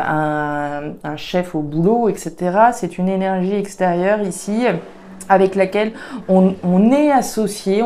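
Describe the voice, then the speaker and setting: Mellow-voiced woman reading oracle cards. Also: fairly low pitch. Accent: regional French accent. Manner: thinks aloud, many fillers and restarts, frequent "hein". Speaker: tarot and oracle card reader. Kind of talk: casual spoken card reading to viewers.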